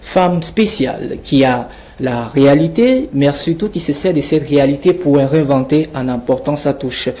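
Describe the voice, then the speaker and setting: A man's voice: a little high, moderate pace, warm tone. A man with a Cameroonian accent reading a scripted portrait of a guest in a theatrical, declamatory manner. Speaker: radio columnist presenting a guest portrait.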